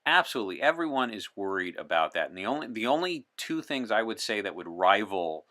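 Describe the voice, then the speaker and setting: A man's voice: lowish voice, very animated, brisk, bright, forceful tone. A man speaking as an interview guest, explaining things in an articulate, erudite way. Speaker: podcast guest in the persona of a time traveler from 1964. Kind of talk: in-character interview talk on science fiction and current events.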